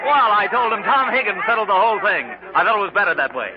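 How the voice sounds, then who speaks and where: A blunt, scrappy working man in a vintage radio comedy. He talks quickly in a high-pitched voice. The muffled broadcast has a crowd behind it. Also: big pitch swings. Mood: excited. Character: blunt, scrappy working-class union man, loyal to pals. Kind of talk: 1940s radio comedy acting.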